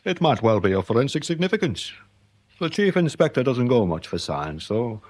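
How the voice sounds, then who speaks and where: A deferential English police sergeant with a deadpan wit. His pitch moves around a lot as he explains things pedantically, in British radio-play style. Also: normal speed. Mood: polite, dry. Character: polite, dry-witted police sergeant, quietly exasperated. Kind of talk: British radio comedy-mystery drama acting.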